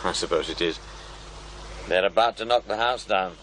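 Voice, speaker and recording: An actor in an audio drama playing a courteous, probing programme maker who asks questions a little hesitantly. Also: male voice, deep voice, normal speed, fairly bright tone, a little hiss or hum, poor audio. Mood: curious. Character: polite, probing male programme maker seeking witnesses.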